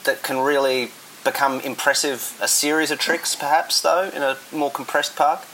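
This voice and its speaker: A middle-aged man interviewing a skateboarder in a relaxed, conversational way, often asking leading questions. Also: on the high side, moderate pace, poor audio. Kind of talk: casual interview. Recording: faint background hiss.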